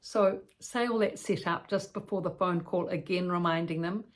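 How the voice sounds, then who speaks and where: A woman's voice: fairly low pitch, moderate pace. A woman giving an instructional talk as a care educator, explaining step by step with practical examples and repeated points.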